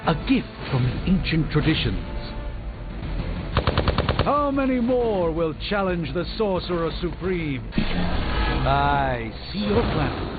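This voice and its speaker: An actor playing a pompous, learned sorcerer in a video game, speaking in a grandiose, lecturing way. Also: male voice, fairly high pitch, very animated, slow pace, long pauses. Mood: grave. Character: pompous, theatrical, learned male sorcerer and mystic. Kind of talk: theatrical video game dialogue. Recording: thin phone-like band, music behind.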